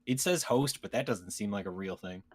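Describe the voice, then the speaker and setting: A man's voice: fairly low pitch, moderate pace, a little mellow, slightly rough. Joking man hosting a casual podcast. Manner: joking, playfully teasing banter. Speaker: podcast host. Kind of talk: casual comedic podcast chat.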